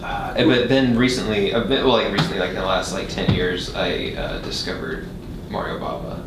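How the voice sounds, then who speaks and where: A man with a lowish voice chats casually, feeling his way through each thought. The room is echoey and there is clear ambient noise behind him. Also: normal speed. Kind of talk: casual chat, reminiscing. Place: indoor radio studio. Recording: roomy studio recording with background noise.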